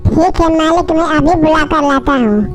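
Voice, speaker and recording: A woman's voice: high-pitched, normal speed, close to an everyday voice. A woman voicing an obedient male bird henchman in a cartoon, speaking deferentially to a boss. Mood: dutiful.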